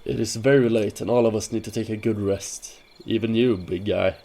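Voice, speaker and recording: A man's voice: on the low side, steady tone, moderate pace, vocal fry, mellow. A man playing a brash, teasing hunter who leads his companions in a fantasy audio drama, bantering theatrically. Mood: weary, firm. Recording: noisy track with cricket ambience. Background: crickets and night insects.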